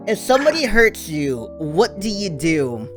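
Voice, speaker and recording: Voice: mid-range voice, very animated, a little slow, over music. A stream host making casual chat, chatty and giggly, asking teasing questions.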